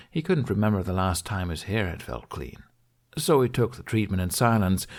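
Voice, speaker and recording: A man's voice: fairly low pitch, gravelly, darkish tone. A man reading fantasy fiction aloud as a scripted audiobook narration.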